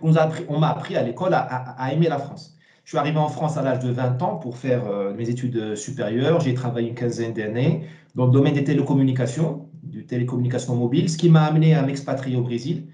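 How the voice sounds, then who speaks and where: A man, giving emphatic, opinionated interview answers with repeated words and halting starts. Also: medium pitch, dark tone, reverberant. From Morocco. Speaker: interview guest, essayist.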